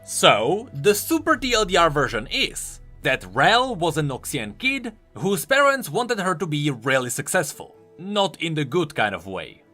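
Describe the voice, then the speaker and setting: A man's voice: a little high, sing-song, a little slow, few pauses, bright, forceful tone, faint music behind. A man presenting explanatory video commentary on game lore, informal and emphatic in his delivery. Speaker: YouTube game lore host.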